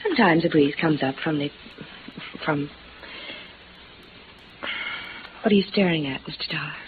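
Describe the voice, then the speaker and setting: An American actress playing a cool, guarded, evasive widow in a melodramatic 1950s radio noir drama. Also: female voice, low voice, fast talker, close to an everyday voice. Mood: languid, wary. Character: cool, guarded, alluring widow hiding a secret. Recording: muffled, hissy vintage radio recording.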